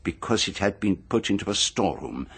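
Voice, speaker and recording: Shrewd radio drama detective, low slightly husky voice, very deliberate, pauses for effect. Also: male voice, lively intonation, fairly bright tone, full character voice. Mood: grave, methodical. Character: shrewd, composed male detective laying out a murder case.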